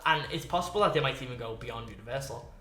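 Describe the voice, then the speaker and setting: Bright-voiced man chatting in echoey room. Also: medium pitch, animated. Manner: halting, heavy use of "like", blunt swearing. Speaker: podcast co-host. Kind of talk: casual podcast chat. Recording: echoey room, music underneath.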